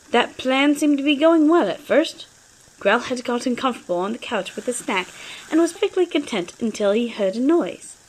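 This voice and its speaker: Higher-voiced woman reading a story dramatically. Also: rough recording. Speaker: narrator.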